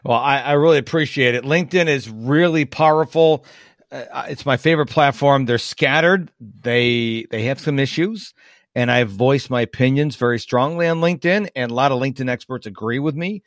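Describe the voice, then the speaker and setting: A man from the United States hosting a podcast, chatting casually and telling animated stories with asides. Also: medium pitch, normal speed, dry recording, clean studio sound. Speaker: podcast host. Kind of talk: casual interview chat.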